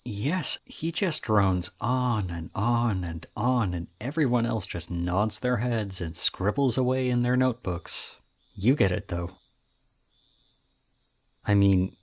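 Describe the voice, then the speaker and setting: A man's voice: low voice, slightly rough, exaggerated voice. A man playing an odd, charming young student with a creepy intensity, speaking casually and hesitantly to the listener in an audio roleplay. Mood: chatty, animated, then intense. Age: young adult.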